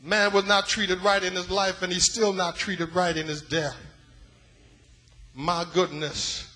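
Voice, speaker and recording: A man from West Africa preaching an impassioned sermon, dramatic, moving between hushed gravity and loud, repeated cries. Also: high-pitched, flat delivery, a little slow. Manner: dramatic, swinging from hushed grave passages to shouted repetition.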